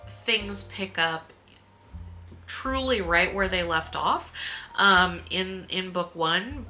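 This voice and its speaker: A woman with a bright, crisp-edged voice talks through a book review in a casual vlog style. She is informal and a little hesitant, circling back to correct herself and dropping the odd mild swear. Faint music sits under her voice. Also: fairly low pitch. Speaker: book reviewer and video host.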